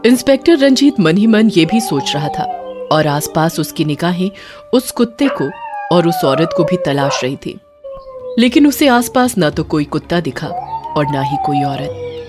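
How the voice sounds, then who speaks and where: A woman's voice: fairly low pitch, big pitch swings, unhurried, over music. A woman narrating a scripted horror story in a dramatic storytelling style.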